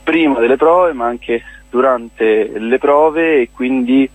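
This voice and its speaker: A man's voice: mid-range voice, dry recording, narrow, tinny audio. Young man speaking thoughtfully by phone. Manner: thoughtful, halting with restarts. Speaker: playwright and director guest. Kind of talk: phone interview. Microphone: telephone line.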